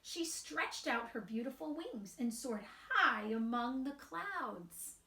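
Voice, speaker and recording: A woman reading a children's picture book aloud in a deliberate, expressive way with emphatic pauses. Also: high voice. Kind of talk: read-aloud children's storytelling. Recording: roomy, echoing sound.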